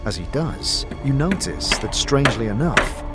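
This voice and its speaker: A man's voice: medium pitch, animated, normal speed, over loud music, close to an everyday voice. A man narrating a fantasy adventure as a storyteller, in scripted dramatic narration. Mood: urgent.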